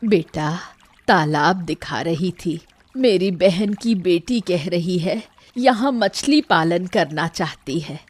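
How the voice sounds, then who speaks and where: A woman playing a caring, practical old village aunt in a Hindi animated moral story, speaking in a measured, plain way. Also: lowish voice, dry recording. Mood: protesting.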